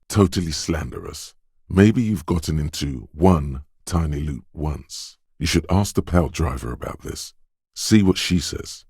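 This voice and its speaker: An extremely deep voice plays a detective's quick-witted instinct in a narrative video game. It is deliberate and watchful, addressing the listener with dramatic weight. The sound is very dry, with no room around it. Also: male voice, creaky, a little mellow, husky, dry recording, full character voice. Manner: deliberate, alert, dramatic second-person narration. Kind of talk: scripted game narration, voice of an inner thought.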